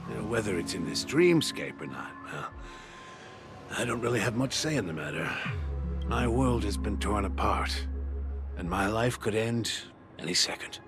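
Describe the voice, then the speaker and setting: A wistful, dying survivor of war played by a man in a video game. He speaks politely and earnestly in a low voice, with very animated, theatrical intonation. Music plays clearly behind him. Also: normal speed, natural voice. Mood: wistful, resigned. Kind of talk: dramatic video game voice acting.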